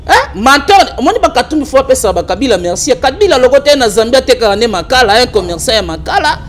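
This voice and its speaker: High-voiced Congolese man, animated debater. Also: fast talker. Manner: animated, argumentative, mixes French and Lingala. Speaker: political commentator. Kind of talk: political talk show chat.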